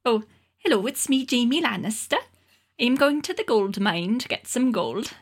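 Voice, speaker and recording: A woman's voice: a little high, lively intonation, moderate pace, breathy edge, voice pushed up. A woman voicing a pleading male fantasy knight with a lilting Welsh accent. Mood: cheery, pleading.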